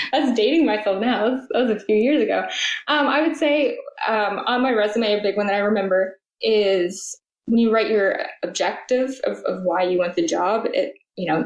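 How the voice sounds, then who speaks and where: Young woman guest, relaxed chatty answers with fillers, mid-pitched, echoey room. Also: normal speed. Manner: chatty, many ums and uhs. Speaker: guest, flight attendant. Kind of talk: casual interview answers. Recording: roomy echo.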